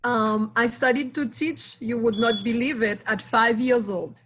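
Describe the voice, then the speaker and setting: A woman speaks in a somewhat high voice as an interview guest. She answers earnestly and with pride, laying out her points in short phrases broken by pauses. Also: steady tone, a bit bright, breathy edge. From Haiti. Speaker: guest, school board candidate.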